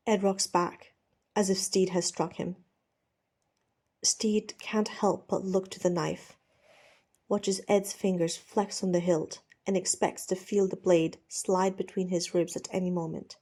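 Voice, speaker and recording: A woman narrating fiction as an audiobook reader, measured and expressive, with a dramatic storytelling style. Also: fairly low pitch.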